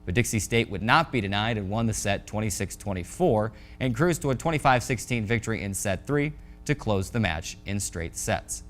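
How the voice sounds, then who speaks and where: A man's voice: on the low side, animated, moderate pace, faint music behind. An American man hosting a sports show, reading a scripted recap of scores in a polished announcer delivery. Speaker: sports show host and play-by-play voice.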